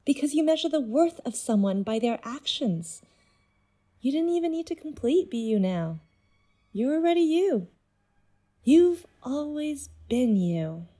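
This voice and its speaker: A woman playing an intense, seductive nonhuman being in human guise in an audio drama, speaking in a deliberate, persuasive way. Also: sits high, very animated, dark tone. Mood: tender, proud. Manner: deliberate, measured, persuasive monologue.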